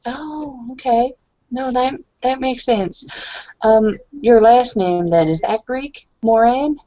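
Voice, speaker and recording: A woman's voice: fairly high pitch, slow pace, warm tone. A woman improvising in-character conversation as a player in a roleplaying game. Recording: dull, lo-fi online voice chat.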